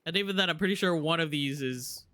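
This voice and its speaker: A man's voice: on the high side, fast, pushed and bright, no echo. A man chatting casually and informally as he live-streams a video game, rambling and swearing now and then. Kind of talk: casual live-stream chat while gaming.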